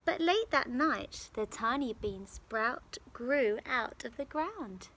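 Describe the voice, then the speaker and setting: High-voiced, animated woman storyteller. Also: takes it slowly. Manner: expressive, dramatic, careful phrasing. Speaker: primary school teacher. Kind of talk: storytelling for young children. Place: outdoors in a garden. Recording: faint outdoor background noise.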